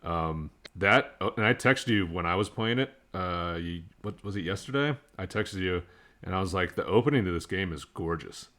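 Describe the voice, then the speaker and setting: A man with a deep voice co-hosts a gaming podcast. He talks in a casual, conversational way, like chatting among friends. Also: lively intonation, moderate pace, fairly bright tone.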